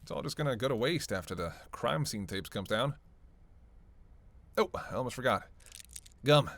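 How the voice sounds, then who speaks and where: A man's voice: mid-range voice, animated, moderate pace, creaky, a bit bright. A man playing a flirty, sardonic vampire in a roleplay audio drama, with casual, teasing, profanity-laced banter. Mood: playful, flirty. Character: flirty, sardonic male vampire on a road trip.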